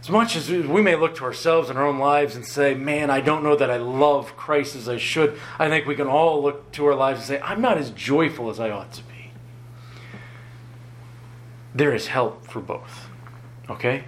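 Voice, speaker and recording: A man preaching a sermon, earnest and often chuckling, his voice lower than usual after an illness and halting when he ad-libs. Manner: earnest, chuckles often, rises in pitch for emphasis; halting, repeats words when ad-libbing.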